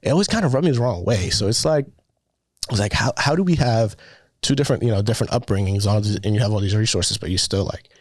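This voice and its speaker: A young man from the Dominican Republic, whose first language is Spanish, chats about his plans as a student filmmaker. His delivery is relaxed and rambling, full of fillers, with a lowish voice. The sound is dry and close, with no room around it. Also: quick pace, dry recording. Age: young adult. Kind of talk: casual conversation.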